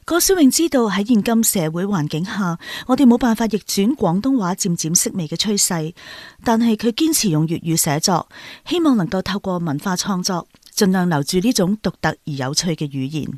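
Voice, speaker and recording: A woman's voice: medium pitch, animated, moderate pace, a little mellow, no echo, studio quality. A woman with a Hong Kong Cantonese accent reading a scripted narration as a narrator. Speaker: narrator of the author's note.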